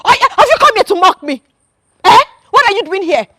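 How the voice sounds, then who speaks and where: An actress playing a fierce, loyal wife who shouts and accuses as she defends her husband. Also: very high-pitched, sing-song, a little fast, no echo, clean studio sound, heavily acted. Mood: furious. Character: fierce loyal young wife, defiant and distraught.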